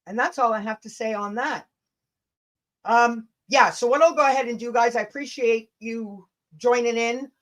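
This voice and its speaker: A woman's voice: fairly high pitch, normal speed, a bit bright. A woman hosting a casual livestream rant, blunt, combative and sweary, with chatty asides to her viewers. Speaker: livestream host.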